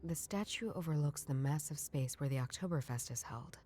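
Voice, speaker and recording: Young woman detective for a noir game: low, dark tone, dry musing narration, faint music bed. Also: animated, moderate pace. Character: wry young woman detective chasing grandpa's riddles. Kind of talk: scripted game voice-over, inner monologue.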